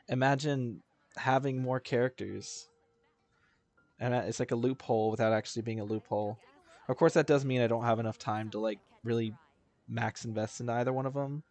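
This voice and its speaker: Male live streamer, mid-range voice, loose and jokey, rambling game chat full of slang. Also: medium pitch.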